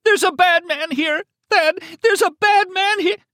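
A man's voice: very high voice, a little slow, sharp tone, no echo, clean studio sound, pitched above the performer's own voice, exaggerated voice. A man voicing a terrified, wounded woman who pleads in panicked shrieks. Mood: hysterical terror.

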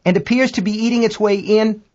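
Man acting a panicked high-pitched American astronaut. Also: steady tone, fairly quick, darkish tone, lo-fi sound, natural voice. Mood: alarmed. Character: panicked American male astronaut, profane and terrified.

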